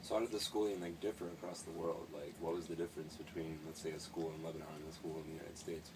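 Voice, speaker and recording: Man interviewer, deep and quick, recorded at a distance over clear background noise. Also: level tone. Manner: brief questions and backchannels. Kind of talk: casual interview. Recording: far from mic with clear noise.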